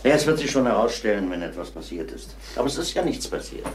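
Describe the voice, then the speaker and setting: An actor playing a calm, probing, wry middle-aged detective in a crime radio drama. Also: male voice, medium pitch, fast talker, slight background noise, lightly acted. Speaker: police investigator.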